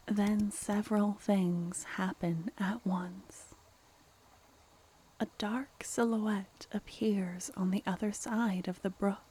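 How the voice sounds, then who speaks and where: A woman softly narrating a scripted fantasy story in ASMR style, with a breathy, near-whispered voice. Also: medium pitch, slight background noise.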